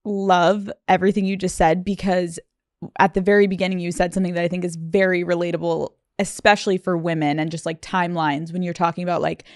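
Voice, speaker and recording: A woman's voice: lowish voice, little pitch movement, fast talker, no echo, clean studio sound. A woman hosting a podcast interview, casual and supportive, swearing freely and jumping in with relatable stories. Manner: enthusiastic, supportive, swears casually, mimics voices. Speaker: podcast host. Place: podcast studio.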